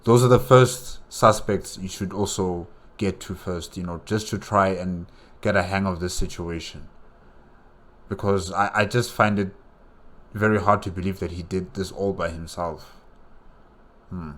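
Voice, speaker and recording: A man hosting a reaction video, giving casual commentary and reasoning aloud in a halting, repetitive way. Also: low-pitched, steady tone, fairly bright tone. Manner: halting, repeats himself while reasoning aloud.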